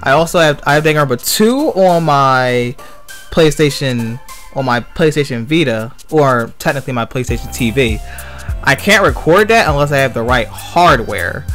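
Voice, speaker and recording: A man's voice: medium pitch, expressive, moderate pace, a bit bright, soft background music. A man chatting casually as he livestreams a game, rambling and often repeating his phrases. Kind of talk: casual gaming stream chat.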